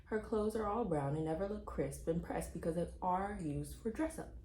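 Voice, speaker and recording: A woman reading a children's chapter book aloud as an expressive narrator. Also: lowish voice, moderate pace, roomy sound, a little hiss or hum.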